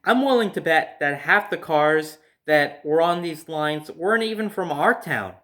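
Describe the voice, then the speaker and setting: Male, American, slightly high voice, casual rambling storyteller recounting everyday experiences. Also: animated, measured pace, a bit bright.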